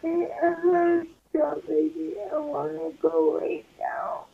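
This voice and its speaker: A woman's voice: sits high, very animated, takes it slowly, gravelly, narrow, tinny audio, a little hiss or hum, heavily acted. A woman playing a whiny, clingy young girlfriend who is hurting, in an amateur ASMR couple roleplay, on played-back video audio. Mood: whiny, pained.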